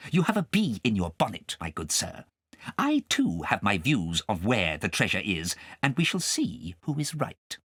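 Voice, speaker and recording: A vain, scheming witch from a storybook, acted by a male reader. She is arch and pompous, sliding between raised and low notes in very wide swoops. The sound is dry. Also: huge pitch swings, moderate pace, fairly bright tone, breathy edge, dry recording, heavily acted. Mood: scornful, sly. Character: scheming, vain, haughty witch, adult woman.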